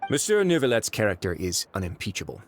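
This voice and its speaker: Brief: smooth sardonic warden, low voice with lively lilt, faint music. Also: male voice, fast talker. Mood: wry. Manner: smooth, courteous, wryly sarcastic. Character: suave, dry-witted male prison warden. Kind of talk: game voice acting.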